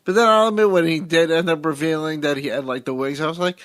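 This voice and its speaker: A man's voice: a little high, steady tone, fast, fairly bright tone. A man co-hosting a podcast, chatting about a film casually and swearing freely. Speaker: podcast co-host. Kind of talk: casual movie chat.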